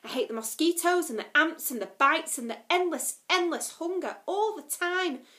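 A woman reading aloud and voicing Con, an angry, homesick young girl who shouts and sounds bitter and close to tears. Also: very high voice, expressive, moderate pace, voice pushed up, heavily acted. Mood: furious.

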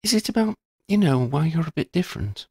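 A man's voice: sing-song, fairly quick, a little mellow, slightly rough, no room sound, clean studio sound, heavily acted. A man reading aloud and voicing a curious, eager teenage schoolgirl who stammers when flustered. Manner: high put-on voice, stammering.

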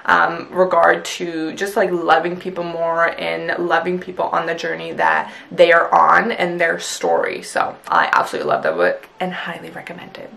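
A woman's voice: fairly low pitch, moderate pace, gravelly, hardly any pauses, a bit bright. A woman in her twenties whose first language is Punjabi, chatting to camera in a small bedroom, gushing and full of "like" fillers. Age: 20s. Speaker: vlogger. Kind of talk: casual chat to camera.

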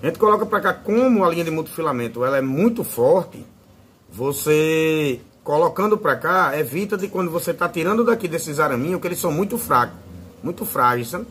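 A man's voice: fairly high pitch, animated, fairly quick, fairly bright tone, a little hiss or hum. A man with a Northeastern Brazilian accent giving a casual, informal hands-on tutorial as a fishing channel host. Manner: informal, fond of diminutives.

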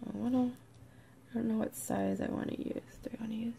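A woman with a croaky, congested voice leads a step-by-step craft tutorial in a relaxed, chatty way, sometimes dropping to a near-whisper as she counts stitches. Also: fairly high pitch, fairly quick, a little hiss or hum. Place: indoors at a worktable, overhead camera on hands.

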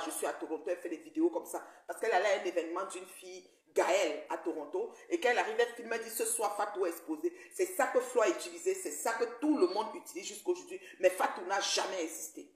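A woman in her forties, recounting events in an animated, indignant way while seated in her living room on a phone call. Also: lowish voice. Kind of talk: video call discussion. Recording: roomy echo.

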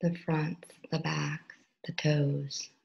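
Low-pitched woman guiding a meditation. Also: level tone, gravelly, dull audio. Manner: soft, unhurried, with long pauses between phrases; gentle, instructional, soothing. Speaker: meditation teacher. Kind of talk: guided meditation.

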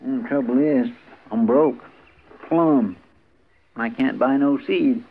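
An actor playing a folksy, earnest frontier deputy with a rural American Western drawl in a 1950s radio drama. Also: male voice, mid-range voice, takes it slowly. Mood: coaxing. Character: folksy, earnest, penniless deputy in the Old West. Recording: dull vintage 1950s radio recording.

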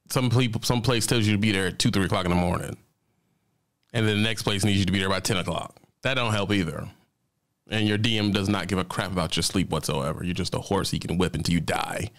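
A man's voice: lowish voice, fairly even intonation, normal speed, pauses often. A man doing casual reaction commentary on a stream, blunt and emphatic, with slangy asides, recorded at home on a studio mic. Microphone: studio mic on boom, headphones.

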